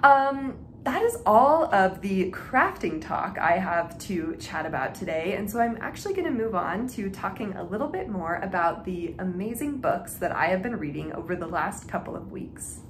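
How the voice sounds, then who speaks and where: Female hobby podcast host, medium pitch, informal and chatty, self-interrupting, roomy home-style recording. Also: sing-song, moderate pace, few pauses. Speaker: knitting and reading podcast host. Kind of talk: casual chat to viewers. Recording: echoey room with faint background noise.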